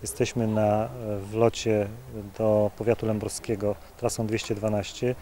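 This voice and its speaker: A man in his forties, a local official, speaking in a measured, formal way with some hesitations in an outdoor interview. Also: fairly low pitch, level tone, mellow, a little hiss or hum. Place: outdoors at an event site.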